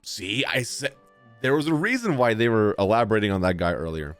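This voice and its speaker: Low-voiced, animated male game streamer. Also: a little fast. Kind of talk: reading game text aloud and reacting. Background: game music.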